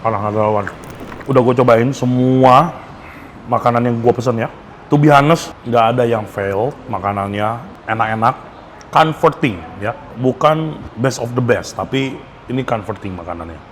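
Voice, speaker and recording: A man's voice: mid-range voice, moderate pace. A man with a Jakarta Indonesian accent gives a casual, enthusiastic food review, speaking slangily and mixing in English phrases. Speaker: food vlogger. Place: restaurant. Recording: clear background noise, restaurant ambience.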